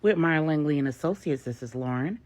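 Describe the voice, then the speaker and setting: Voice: medium pitch, a little fast, close to an everyday voice. A storyteller voicing a composed, reassuring woman attorney in a serial drama story. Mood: warm, professional.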